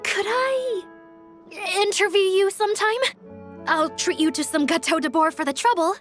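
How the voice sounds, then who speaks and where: A woman's voice: extremely high pitch, normal speed, fairly bright tone. A woman voicing an eager, polite young female reporter in a video game. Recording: music under the voice.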